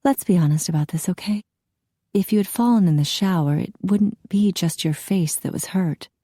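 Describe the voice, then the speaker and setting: A woman's voice: lowish voice, moderate pace, dark tone, no room sound, studio quality, lightly acted. A woman voicing a young female police officer who speaks firmly and urgently. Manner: firm, urgent, commanding.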